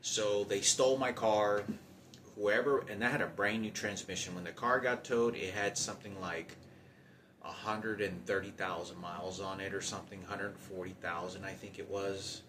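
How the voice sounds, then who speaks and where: A man giving an unscripted personal monologue about his own hardships, halting and often circling back to repeat himself. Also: fairly low pitch, steady tone, noisy background. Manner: halting, repetitive, circling back over points. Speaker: storyteller recounting personal hardship.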